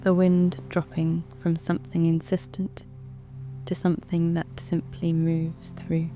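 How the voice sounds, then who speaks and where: A woman with a British accent narrating a guided sleep meditation in a soft, calm, soothing way, with long measured pauses. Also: on the low side. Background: background music.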